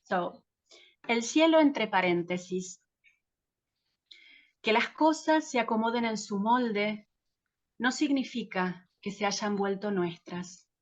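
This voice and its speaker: A woman poet whose first language is Spanish reads verse and talks haltingly, restarting her sentences often. Her voice has a middle pitch and comes through a phone with dull, lo-fi call sound. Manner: hesitant, halting, with frequent restarts. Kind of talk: poetry reading and round-table chat.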